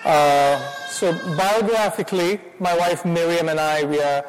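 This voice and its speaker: A middle-aged man with an Indian accent addresses a congregation, pausing often with fillers as he feels his way into the talk. His voice sits a little higher than most men's, and it rings out with a long hall reverb. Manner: halting, modest, with many fillers. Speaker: guest speaker. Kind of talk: church talk.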